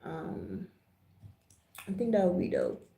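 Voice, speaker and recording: A woman's voice: lowish voice, wildly swooping pitch, takes it slowly, creaky, noisy background. A woman with an American accent, chatting casually and in a laid-back, slangy way as she hosts a livestream. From Jamaica, raised in the US. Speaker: livestream host. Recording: roomy, echoing livestream audio.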